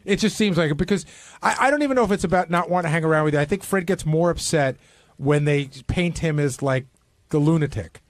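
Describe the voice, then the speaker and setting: Fast-talking, higher-voiced man on radio. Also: no echo. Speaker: radio show regular. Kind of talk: casual radio chat.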